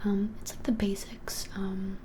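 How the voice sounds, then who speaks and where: Young woman whispering softly, mellow. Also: mid-range voice, level tone, a little slow, slight background noise. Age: 20s. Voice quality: breathy unvoiced whisper. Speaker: ASMR creator playing a witch shop keeper. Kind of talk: whispered ASMR roleplay. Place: small plain room indoors.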